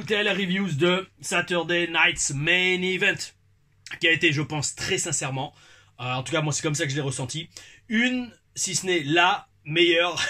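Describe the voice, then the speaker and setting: Bright husky-voiced French man, exuberant. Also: fairly high pitch. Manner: exuberant, repeats catchphrases, rambling asides. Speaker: wrestling review host. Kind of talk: casual energetic video review.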